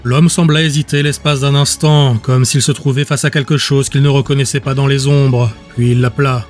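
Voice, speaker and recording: A man's voice: medium pitch, flat delivery. Man narrating a fantasy audiobook over music. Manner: measured, descriptive storytelling. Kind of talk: scripted fiction narration. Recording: music under the voice.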